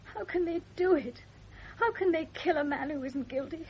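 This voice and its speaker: A woman's voice: high-pitched, normal speed, a little hiss or hum. An actress playing a distraught, loyal woman who pleads earnestly in a radio drama. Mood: pleading. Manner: earnest, beseeching. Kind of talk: 1970 radio drama acting. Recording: dull, band-limited old radio recording.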